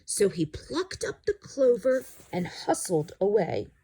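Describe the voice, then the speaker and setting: A woman's voice: mid-range voice, big pitch swings, slow, mellow, breathy edge, low-quality recording. A woman reading a children's picture book aloud expressively and chatting to young listeners about it. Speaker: storyteller.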